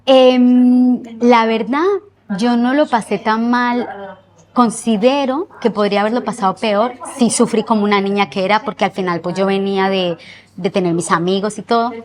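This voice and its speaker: A young woman from Colombia with a Colombian accent softened by years in Spain, recalling her life earnestly in an interview and slowing down to stress words. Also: fairly high pitch, steady tone. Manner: reflective, earnest, often slows down to stress words. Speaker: guest. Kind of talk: personal interview.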